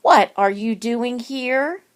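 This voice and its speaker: A woman voicing a brisk, no-nonsense woman school librarian in a storybook, firm and questioning. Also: a little high, pitched above the performer's own voice. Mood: stern.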